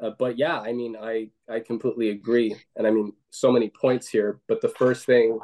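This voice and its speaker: Guy hosting a chill discussion, keeps saying right? and i mean, mid pitch. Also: flat delivery, moderate pace, warm tone. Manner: conversational, frequent "right?" and "I mean". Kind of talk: casual discussion.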